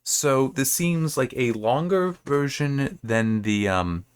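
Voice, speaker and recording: A man's voice: mid-range voice, lively intonation, slow, background noise. A man giving informal commentary on a book, thinking aloud and rambling as he goes. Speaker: host reviewing assigned reading.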